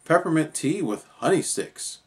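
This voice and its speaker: Man playing an excitable kindly old storybook gentleman. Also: fairly high pitch, normal speed, voice pushed up. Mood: pleased.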